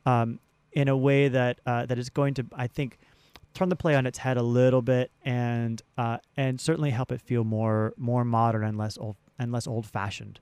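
American guy as radio guest, smooth clear mid voice, measured and chatty, restates stuff, dry studio mic. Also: medium pitch, little pitch movement, darkish tone, dry recording. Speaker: theater director and producer, radio guest. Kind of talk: conversational interview answers. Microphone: close studio radio microphone.

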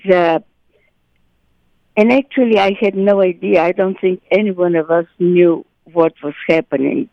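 A woman actress talks animatedly as an interview guest, her voice carrying a gravelly edge. She often stops and restarts her phrases. The sound is dry and a bit muffled. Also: on the low side, no room sound. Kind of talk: interview chat.

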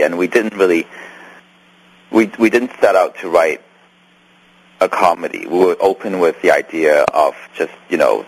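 Male guest, Hong Kong accent, deep and husky, meandering answers. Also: low-quality recording. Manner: rambling, many restarts and fillers. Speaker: filmmaker guest. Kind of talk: interview.

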